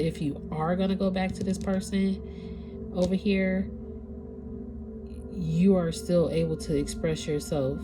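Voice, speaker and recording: A woman with a stuffy, congested nose, giving a casual spiritual reading to her audience and now and then searching for the right word. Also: sits low. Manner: casual, conversational, searching for words. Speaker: tarot reader.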